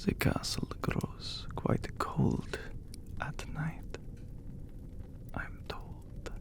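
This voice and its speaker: A man playing an ancient, courteous, brooding vampire count in an ASMR roleplay, speaking in a breathy, gravelly hush with formal phrasing and long pauses. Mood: courteous, gracious. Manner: slow, formal, hushed, with long dramatic pauses. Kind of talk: ASMR romantic roleplay, scripted character acting.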